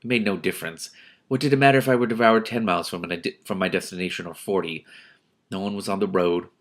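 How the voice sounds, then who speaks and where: A man reading a novel aloud as host, with casual, exasperated asides in an expressive manner. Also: low voice, fast. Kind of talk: audiobook-like reading with casual reactions.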